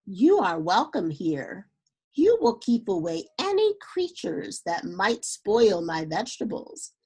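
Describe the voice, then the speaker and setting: Woman as a gentle, lilting young storybook daughter. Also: medium pitch, big pitch swings, slow, slightly rough, exaggerated voice. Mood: tender greeting. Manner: sweet, welcoming.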